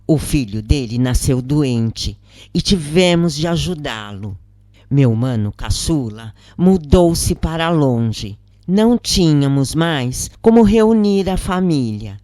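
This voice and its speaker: A woman with a Brazilian accent narrating a first-person story in a measured, expressive, dramatic audiobook style. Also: deep voice, no room sound, clean studio sound. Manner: measured, expressive, acts out quoted lines. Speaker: narrator of a spiritist account.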